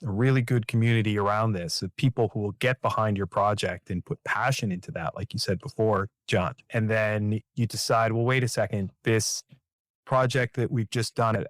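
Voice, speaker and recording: Man hosting, lowish voice. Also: brisk, no echo. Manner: affirming, builds long framing questions. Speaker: podcast host. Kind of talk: fireside chat interview.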